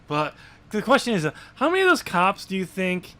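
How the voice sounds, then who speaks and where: A man's voice: sits high, wildly swooping pitch, brisk, pushed and bright. A man co-hosting a podcast as an author, discussing a book casually in a rambling way. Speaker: podcast co-host and novel author.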